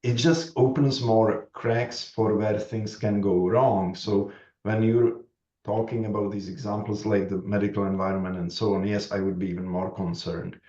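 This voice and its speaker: A man with a Czech accent, speaking as a panelist at a conference, thoughtful and halting with many restarts. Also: lowish voice, little pitch movement, warm tone. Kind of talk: panel discussion remarks. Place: conference room. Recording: echoey room, dull lo-fi sound.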